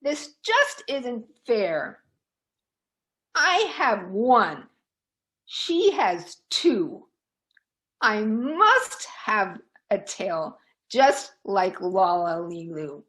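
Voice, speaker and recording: A woman's voice: medium pitch, big pitch swings, extremely slow, fairly bright tone, exaggerated voice. A woman voicing a vain, whiny, jealous young female bird from a children's storybook, in a theatrical way. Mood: whining, indignant. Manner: theatrical, pleading and boastful.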